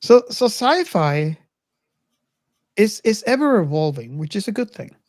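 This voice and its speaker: A middle-aged woman hosting a casual film discussion, speaking reflectively and haltingly as she circles back over her phrases. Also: medium pitch, very animated, darkish tone, dry recording, studio quality. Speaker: podcast host.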